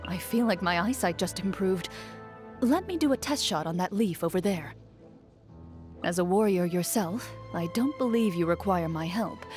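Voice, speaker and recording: A composed young female champion duelist from a video game, played by a woman, stern and principled. She speaks with formal, measured precision and dry understatement at a medium pitch, over music. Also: a little mellow, close to an everyday voice. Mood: earnest, confident. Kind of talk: video game character voice lines. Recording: music behind the voice.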